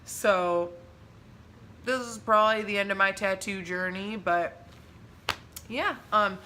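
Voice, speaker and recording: A young woman in her twenties chatting casually to camera as a vlogger, rambling with lots of "like" and "um", laughing easily and jumping up in pitch when excited. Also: takes it slowly, a little hiss or hum.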